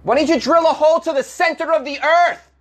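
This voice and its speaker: A man's voice: very high-pitched, fairly even intonation, fairly quick, a bit bright. A man with a Boston accent hosting a comedic weird-news podcast, rambling and joking irreverently, often laughing at his own lines. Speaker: podcast host.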